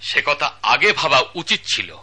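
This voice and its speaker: Stiff formal judge, bright edgy voice, bangs for order. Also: male voice, fairly high pitch, big pitch swings, moderate pace, breathy edge, full character voice. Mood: stern. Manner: formal, archaic court diction, calls order. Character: stern male magistrate passing sentence. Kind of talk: Bangladeshi state radio drama acting.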